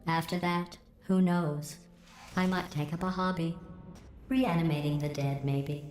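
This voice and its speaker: A low, dark, robotic female supercomputer purrs out passive-aggressive remarks in a flat, measured tone. Her synthetic voice rings through a roomy, echoing game space. A faint hum sits behind her. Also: faint music behind. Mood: cold passive-aggressive. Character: cold sarcastic female AI supercomputer. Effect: synthetic robotic voice processing. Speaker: video game character. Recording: echoey game audio.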